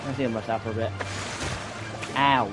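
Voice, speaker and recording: A man's voice: mid-range voice, fairly even intonation, moderate pace. Casual, rambling male game commentator. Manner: self-deprecating, rambling. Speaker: game streamer. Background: game music.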